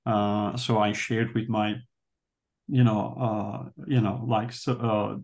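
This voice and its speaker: A man with a Turkish accent talks in a book club discussion. His pitch stays flat and level, and his soft-spoken delivery pauses often as he searches for the right English words. His native language is Turkish. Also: gravelly. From Turkey. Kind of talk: casual discussion.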